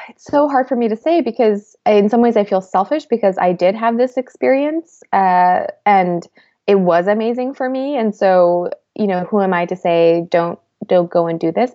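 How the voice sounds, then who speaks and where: A young woman in her twenties, a guest in an interview, talking thoughtfully with many pauses, fillers and restarts. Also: medium pitch, a little mellow, highs cut off. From United States. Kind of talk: reflective conversational interview.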